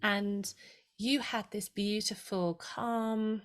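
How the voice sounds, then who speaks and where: A woman's voice: medium pitch, flat delivery, extremely slow. A woman hosting a podcast, asking eager, rambling questions in an enthusiastic way. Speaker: podcast host. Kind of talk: podcast interview.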